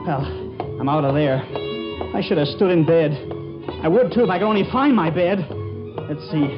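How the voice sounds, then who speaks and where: A man's voice: fairly high pitch, normal speed, natural voice. An actor playing a nervous, quippy young man forever flustered in a 1940s radio comedy. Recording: dull 1940s radio recording, music behind.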